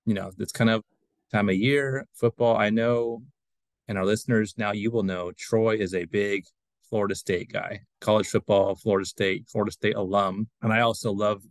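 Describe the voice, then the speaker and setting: A man's voice: fairly low pitch, fairly even intonation, normal speed, no room sound. A man in his forties co-hosting a podcast, talking in a laid-back, colloquial way. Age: 40s. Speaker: podcast co-host. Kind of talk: casual chat about pop culture.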